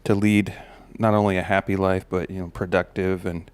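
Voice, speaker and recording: A man, a parent, sharing life advice in a relaxed personal monologue, with a slight gravel in his voice and frequent pauses and restarts. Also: deep voice, dry recording. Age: adult parent of a daughter. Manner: relaxed, musing, many fillers and restarts. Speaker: podcast host.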